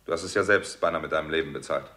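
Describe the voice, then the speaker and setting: A man's voice: extremely low pitch, very fast, pushed and bright, no room sound, exaggerated voice. A man playing a cool, decent, reserved doctor in a 1960s German radio crime drama, speaking drily and matter-of-factly. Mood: urgent, exasperated. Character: cool, decent, reserved male doctor turned amateur sleuth.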